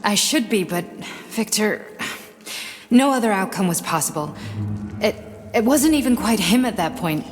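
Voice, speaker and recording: A woman playing a stern, bitter, coolly clinical neurosurgeon in a video game, speaking gravely and pointedly. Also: mid-range voice, lively intonation, normal speed, big-room sound, natural voice. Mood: bitter, accusing.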